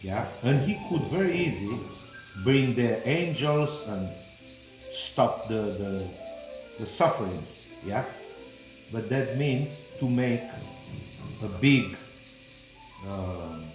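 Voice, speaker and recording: Slow older man with Romanian accent. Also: medium pitch, expressive. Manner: halting, searching for English words. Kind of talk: casual conversation. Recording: echoey room with music behind.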